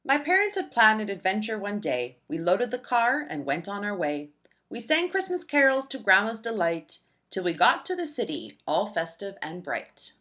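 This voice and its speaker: A woman narrating a rhyming children's storybook in an expressive, deliberate storytelling manner for young listeners. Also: medium pitch. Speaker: children's book read-aloud narrator.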